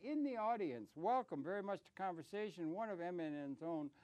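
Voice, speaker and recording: Rambling, mellow-voiced male talk show host. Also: high-pitched, animated. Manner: rambling, halting questions that trail off. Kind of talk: conversational interview.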